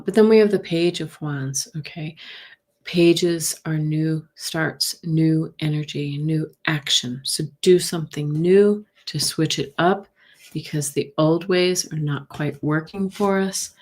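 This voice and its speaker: A soft-spoken woman with a slightly low voice talks through tarot cards on a live stream. She muses gently and unhurriedly, speaking into a desk mic at her table. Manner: gentle, thinking aloud, answers viewers by name.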